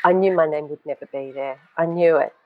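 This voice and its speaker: A man, a guest telling stories from his youth in an animated way, laughing as he talks. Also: fairly high pitch, brisk, dull audio. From Australia. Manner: animated storyteller, laughs while talking. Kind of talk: casual podcast chat.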